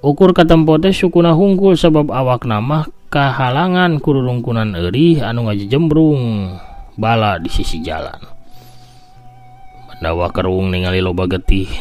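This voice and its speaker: A man's voice: medium pitch, normal speed, a little mellow, soft background music. A man with a Sundanese accent narrating a scripted folk tale in a dramatic, theatrical storytelling style. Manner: theatrical, with voiced sound effects.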